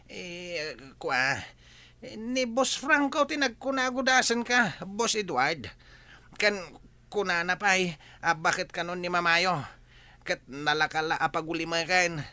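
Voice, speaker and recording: A man's voice: sits high, animated, measured pace, bright, forceful tone, clear, resonant tone, no echo, full character voice. An actor playing a nervous, pleading male henchman who stammers and hesitates, with an Ilocano accent, in a radio drama. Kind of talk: Filipino radio drama acting.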